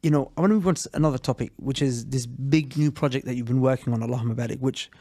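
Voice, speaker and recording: A man hosts a podcast interview, eager and rambling. His voice is husky and the room sound is dry and close. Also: medium pitch, fast talker, warm tone, dry recording. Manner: enthusiastic, rambling with restarts. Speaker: podcast host. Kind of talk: casual interview.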